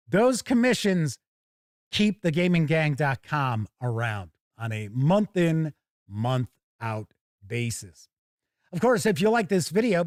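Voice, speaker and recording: A man with an American accent and a fairly high-set voice hosts a casual live stream. He chats colloquially, leaning on his words for emphasis. The sound is close and dry, with very little room in it. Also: dry recording. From United States. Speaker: YouTube live stream host.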